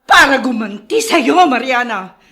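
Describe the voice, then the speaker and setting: A woman's voice: sits high, lively intonation, a little slow, pushed and bright, exaggerated voice. An actress playing an emotional, anguished mother in a Filipino radio drama. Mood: bitter, vengeful. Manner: dramatic, anguished, breaking into sobs. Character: guilt-ridden ailing mother, emotional and remorseful.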